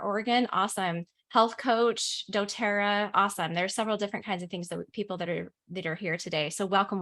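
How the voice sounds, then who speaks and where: A woman's voice: mid-range voice, fairly bright tone. Woman, from the US, webinar host, upbeat, enthusiastic, video call. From United States. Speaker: business coach, webinar host. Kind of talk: hosting a live webinar.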